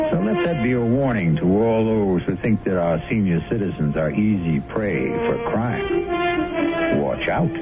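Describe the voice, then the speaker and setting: Brief: a male radio host with a wry, measured delivery, low and gravelly, on a tinny old broadcast with music under it. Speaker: host and narrator. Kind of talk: scripted radio closing narration.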